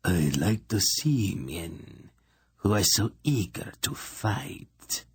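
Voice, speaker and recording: Picture a silky, menacing male priest , as a narrator puts him on, purring each word slowly in an odd foreign accent. He sounds low and husky, with a dry close sound. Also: big pitch swings, darkish tone, dry recording, full character voice. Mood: silkily menacing.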